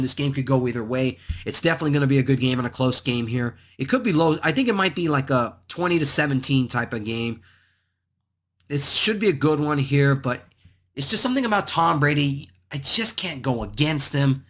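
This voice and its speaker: A man with a hoarse voice hosting his own channel, rambling through his football game picks with many repeats and restarts. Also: medium pitch, a bit bright. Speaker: sports picks channel host. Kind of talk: solo football predictions talk.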